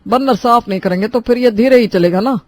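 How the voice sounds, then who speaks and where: A blunt cartoon gas repairman, acted by a man, with an unusually high male voice. He finds fault plainly, in a matter-of-fact scolding manner. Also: quick pace. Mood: blunt, disapproving. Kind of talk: Hindi moral-story cartoon acting.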